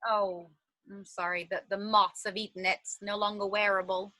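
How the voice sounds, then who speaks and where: A woman's voice: medium pitch, little pitch movement, normal speed, bright, forceful tone, lightly acted, rough recording. An actress playing an anxious but determined woman on the run in a stage drama, speaking in a theatrical, insistent way. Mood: wistful, playful. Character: anxious but determined woman fleeing a flooded underground shelter. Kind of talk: dramatic stage-play dialogue.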